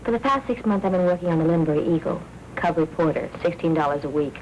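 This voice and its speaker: An eager, coaxing young woman in a 1940s noir picture. Her voice is fairly low and earnest. The aged soundtrack adds light noise. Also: lively intonation, fast talker, darkish tone. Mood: pleading, confiding. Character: eager, wheedling young female would-be cub reporter. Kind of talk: 1940s film noir acting. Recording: noisy 1940s film soundtrack.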